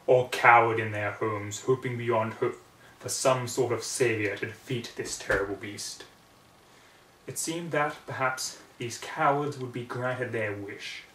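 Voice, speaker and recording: A man reading a story aloud as a narrator, with theatrical, expressive delivery and halting pauses. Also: mid-range voice. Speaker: reader of fan fiction. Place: echoey room, away from the mic. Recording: roomy, distant mic, background noise.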